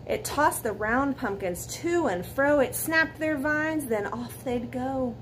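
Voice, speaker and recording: A woman in her forties reads a children's bedtime story aloud with dramatic emphasis, pausing to put questions to young listeners. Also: high-pitched, lively intonation, fairly bright tone, low-quality recording. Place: living room at home beside a fireplace. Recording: clear background noise, room ambience.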